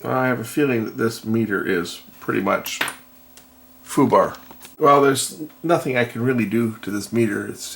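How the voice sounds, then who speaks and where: Male workshop vlogger, fry-heavy and fairly deep, musing tentatively through a hands-on repair. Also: pushed and bright. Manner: thinking aloud, tentative and unhurried. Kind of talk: casual hands-on repair commentary. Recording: noticeable background noise.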